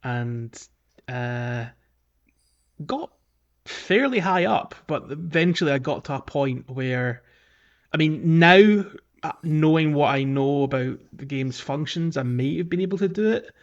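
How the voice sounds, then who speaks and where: A man's voice: on the high side, lively intonation, unhurried, dull audio. A man co-hosting a casual gaming podcast, often hesitating and rephrasing himself as he talks. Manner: hesitant, self-correcting phrasing. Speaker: podcast co-host.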